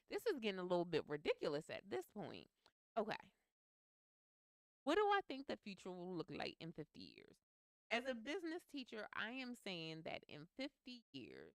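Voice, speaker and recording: Woman, co-host, outspoken, playful, clear smooth voice, dry sound. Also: medium pitch, no room sound. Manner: outspoken, playful, animated slang. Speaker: co-host, business teacher. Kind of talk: casual couple chat.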